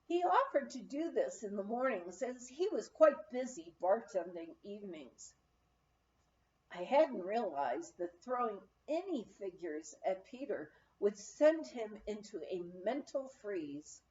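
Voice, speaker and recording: A woman reading a memoir aloud as an audiobook narrator, telling it in an expressive storytelling manner. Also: mid-range voice, measured pace, lo-fi sound. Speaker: audiobook reader.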